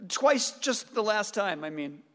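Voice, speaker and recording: An actor playing an excitable, adoring neighbor in a radio play, earnest and effusive in his devotion. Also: high voice, unhurried, big-room sound, full character voice. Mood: eager, feisty.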